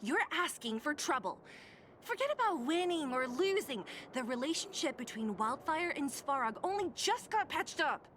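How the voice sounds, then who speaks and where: Blunt young game fighter, sarcastic, exasperated, high pitch, lively. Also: female voice, normal speed, a little hiss or hum. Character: tough, exasperated young female underworld fighter. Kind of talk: video game dialogue.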